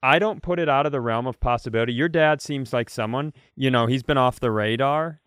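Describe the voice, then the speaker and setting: A man's voice: medium pitch, a little fast, a bit bright, smooth, no echo, clean studio sound. A man co-hosting a comedy podcast, telling rambling, self-mocking stories in casual, playfully exaggerated banter.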